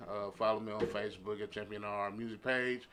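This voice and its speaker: A man's voice: lowish voice, fairly even intonation, quick pace, a bit bright, slight background noise. A man, a musician guest on a talk show, speaking casually as he promotes himself.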